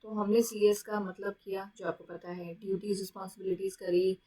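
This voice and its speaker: A woman's voice: medium pitch, flat delivery, brisk, warm tone. Indian woman teaching a class. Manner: mixes Hindi and English, frequent check-in tags. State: sore throat, unwell. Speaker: teacher of an online exam-prep class. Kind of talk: lecture, explaining to students.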